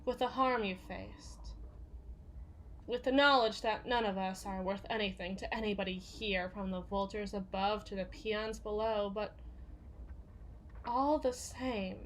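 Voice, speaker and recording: A woman playing a jaded, foul-mouthed bodyguard confiding in a partner in an intimate ASMR roleplay, halting and often sinking into whispers. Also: mid-range voice, fairly bright tone, a little hiss or hum. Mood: earnest.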